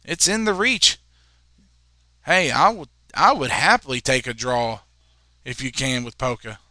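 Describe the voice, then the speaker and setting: A man in his twenties calling a high school soccer game, chatting in rambling asides between plays. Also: measured pace, sharp tone, dry recording, clean studio sound. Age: 20s. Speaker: play-by-play commentator.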